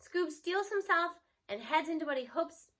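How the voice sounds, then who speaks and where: A woman narrating a children's story aloud in an expressive read-aloud style. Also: very high-pitched, moderate pace.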